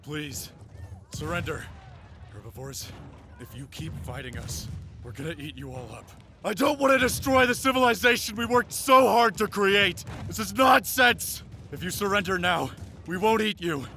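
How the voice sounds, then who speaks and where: A man's voice: high-pitched, normal speed, bright, forceful tone. A man voicing a solemn, reverent animal leader with a formal, earnest manner in a fan dub. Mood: urgent pleading. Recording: echoey hall, faint background noise.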